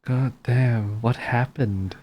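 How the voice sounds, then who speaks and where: Male streamer, medium pitch, informal playthrough commentary with offhand reactions. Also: fairly even intonation, slow, a little mellow, a little hiss or hum. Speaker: game streamer. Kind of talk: casual playthrough commentary, reading out game text.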